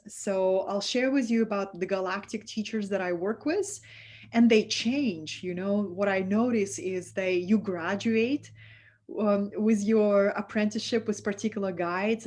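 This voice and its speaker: A woman from Russia with a Russian accent, hosting a live-streamed spiritual talk and speaking softly and affectionately to her viewers. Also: mid-range voice. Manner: soft, affectionate, reads out viewer comments.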